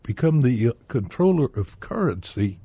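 A man's voice: medium pitch, big pitch swings, moderate pace, warm tone, breathy edge, no echo. A man speaking as a guest on a radio talk show, telling a story at length. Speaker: guest, bank director. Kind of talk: radio interview.

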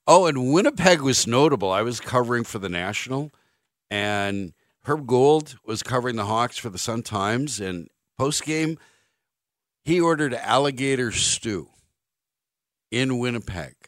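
A man's voice: mid-range voice, animated, a little slow, no room sound, clean studio sound. A man in his fifties hosting sports talk radio, making wry, sarcastic jokes and drifting into rambling anecdotes. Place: radio studio. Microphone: studio microphone.

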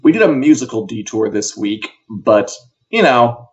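A man's voice: medium pitch, sing-song, a little slow. Wry man chatting, dull lo-fi audio. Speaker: co-host of an entertainment live show. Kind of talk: casual entertainment chat.

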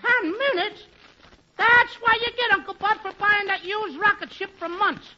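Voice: very high-pitched, moderate pace. An actor playing a whiny, wisecracking little boy in a 1940s radio comedy. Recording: noisy, muffled 1940s radio recording.

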